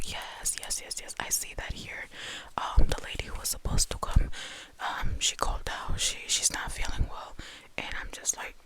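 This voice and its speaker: A young woman whispering a casual, profane storytime at home, full of "like" and quick quoted asides. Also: low-quality recording. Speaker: vlogger.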